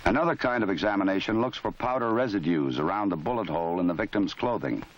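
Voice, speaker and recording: A man giving formal, measured scripted narration for an old training film. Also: sits low, animated, slight background noise. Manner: measured, formal, matter-of-fact. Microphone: voice-over. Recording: dull lo-fi 1970s film soundtrack.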